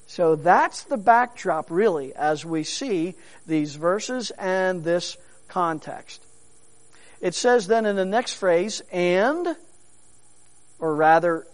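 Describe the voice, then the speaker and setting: A man preaching a sermon, earnest and unhurried, with frequent fillers and repeated words. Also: high voice. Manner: preaching with emphatic rises. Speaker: pastor.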